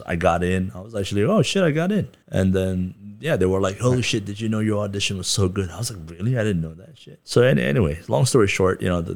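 A man's voice: fairly low pitch, vocal fry. Laid-back, chatty man from Darjeeling. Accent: Darjeeling Nepali. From Darjeeling, India. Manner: laid-back, chatty, laughs often, acts out quotes. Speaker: podcast guest. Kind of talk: casual chat.

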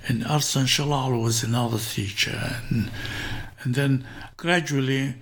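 A man's voice: medium pitch, steady tone, unhurried. Older Iraqi-born man recalling the past. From northern Iraq. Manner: hesitant, repeats and restarts words. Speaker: interview guest. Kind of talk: reminiscing in an interview.

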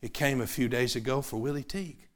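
A man, preaching a sermon. His delivery swings from loud, fervent preaching to quiet personal asides. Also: mid-range voice, quick pace. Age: 50s.

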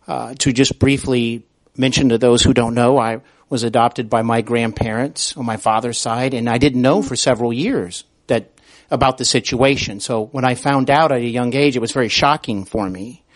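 An American man speaking conversationally as a podcast interviewer, attentive and a bit halting in his phrasing. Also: medium pitch.

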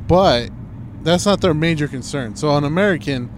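A laid-back man drawls through a casual conversation, pausing on fillers as he goes. He sits in a parked car, and clear vehicle noise runs behind his voice. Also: a little high, expressive. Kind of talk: casual chat.

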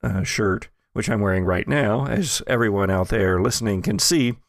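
An American man with a creaky, gravelly edge to his voice hosts a relaxed group chat. The recording is dry and close, with very little room around him. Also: fairly low pitch, normal speed, no room sound. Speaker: podcast host.

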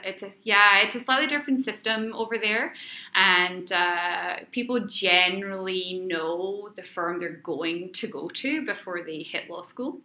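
A woman's voice: lowish voice, sharp tone. A middle-aged woman with a Scottish accent, chatting through interview answers and often repeating phrases as she rephrases. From Scotland. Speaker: interview guest, lawyer. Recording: slightly dull, roomy interview recording.

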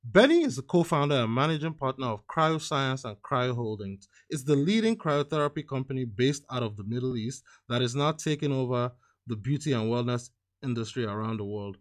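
A man hosting a podcast interview, reading his intro and sponsor spots in a measured way from a script. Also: medium pitch, expressive. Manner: measured, reads from a script, spells things out slowly. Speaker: podcast host.

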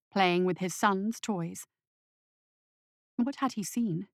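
A woman narrates horror fiction like an audiobook. Her voice is medium in pitch and measured, with dramatic delivery. The sound is very dry, with little room. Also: dry recording. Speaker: story narrator.

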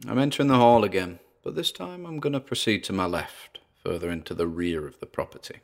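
Casting: male actor for uneasy paranormal investigator in an audio drama, low creaky voice, hesitant found-tape monologue with muttered asides. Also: animated, a little fast. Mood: cautious. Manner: hesitant, muttering observations with sighs and trailing asides.

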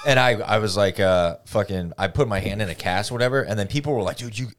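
A man's voice: lowish voice, fast talker, a little hiss or hum. A comedian guest telling a wild story in casual podcast chat, swearing and laughing as he goes. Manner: laughing, profane, animated storyteller.

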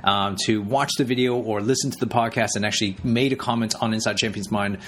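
Middle-aged male podcast co-host, low-pitched, rambling and halting, faint background noise. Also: steady tone, a bit bright. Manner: rambling, halting, many fillers and restarts. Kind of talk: casual chat.

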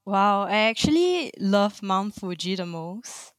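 A woman's voice: mid-range voice, very animated, slow, no echo. A young woman from Singapore with a Singaporean English accent, chatting cheerfully as a podcast guest and laughing often. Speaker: guest, Amazon account manager. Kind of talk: casual interview chat.

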